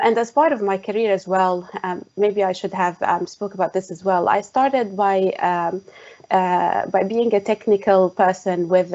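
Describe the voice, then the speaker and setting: A woman's voice: medium pitch, a little mellow. Muffled woman with a Jordanian Arabic accent. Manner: hesitant, many "um" fillers. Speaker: tech specialist guest. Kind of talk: online panel conversation. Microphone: video call. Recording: muffled lo-fi online call.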